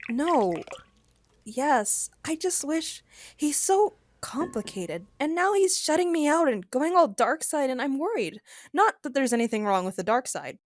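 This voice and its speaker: Actress as a feisty, animated young-sounding space adventurer woman. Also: high-pitched, unhurried. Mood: worried, hesitant. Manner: candid, sardonic, emphatic. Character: frank, feisty, worried woman, a galactic adventurer. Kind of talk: first-person narration and dialogue in an audio drama.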